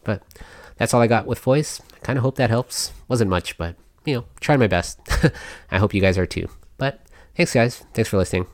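A woman with a very low, deep voice hosts a casual podcast chat into a studio mic. She talks loosely, often laughs and trails off mid-sentence. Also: moderate pace, a little mellow. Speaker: podcast host.

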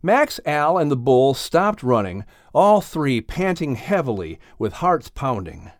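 A man narrating a children's animal story as an audiobook reader, in an expressive scripted style. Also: mid-range voice, measured pace, dry recording, studio quality.

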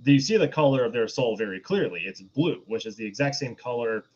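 A man's voice: mid-range voice, expressive, brisk, darkish tone, dull audio. A man co-hosting a podcast, explaining things in a rambling, casual film discussion. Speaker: podcast co-host.

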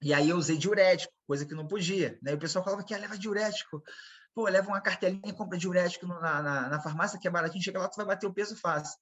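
Husky Brazilian fighter telling stories. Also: male voice, high voice, fairly even intonation, racing, a bit bright. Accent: Brazilian Portuguese. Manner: rambling, repeats himself, quotes others in dialogue. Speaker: MMA fighter, guest. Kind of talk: casual interview storytelling.

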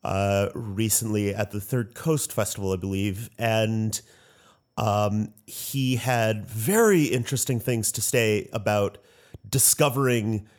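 Man moderating, halting and reflective. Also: lowish voice, steady tone. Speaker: moderator of a roundtable. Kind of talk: roundtable discussion, posing questions.

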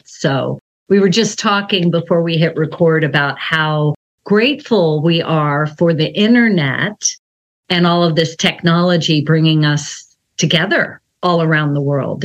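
A woman's voice: deep voice, muffled recording. Upbeat middle-aged woman podcast host. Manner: upbeat, enthusiastic, affirming. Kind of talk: scripted intro then casual interview.

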